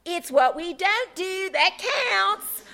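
A woman's voice: very high-pitched, lively intonation, takes it slowly, vocal fry, sharp tone, pitched above the performer's own voice, heavily acted. A woman voicing a giddy young sorority girl with a Southern drawl who speaks proudly for her group. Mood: chirpy. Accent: put-on Southern US drawl. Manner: chirpy, proud, self-important.

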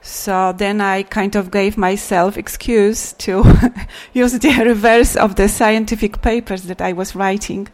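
Polish accented woman poet, middle-aged, easygoing q&a answers, mid pitch. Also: fairly even intonation. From Poland. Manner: unhurried, reflective, with soft laughs and restarts. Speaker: poet and translator. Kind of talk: Q&A after a poetry reading.

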